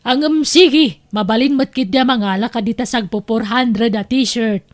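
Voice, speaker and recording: Woman acting a high-voiced bossy Ilocano aunt. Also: unhurried, lightly acted. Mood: breezy, thrifty. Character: breezy, bossy, thrifty middle-aged aunt. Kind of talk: Ilocano audio drama acting.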